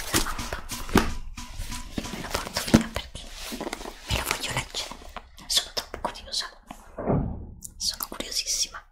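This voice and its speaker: A woman playing a curious, stubborn student in a whispered ASMR roleplay, hushed, insistent and pleading. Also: close to an everyday voice. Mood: sneaky.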